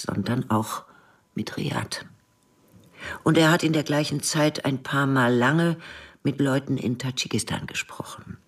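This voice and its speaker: An actress playing a female intelligence contact in a radio play, giving a matter-of-fact briefing in a husky voice. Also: a bass voice, distant mic, heavily acted.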